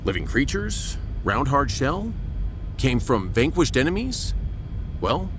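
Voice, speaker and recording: Wry, animated starship captain. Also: male voice, slow. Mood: wry, reassuring. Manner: wry, folksy, commanding. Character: wry, confident male human starship captain. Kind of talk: sci-fi audio drama acting. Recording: rumbling spaceship hum behind.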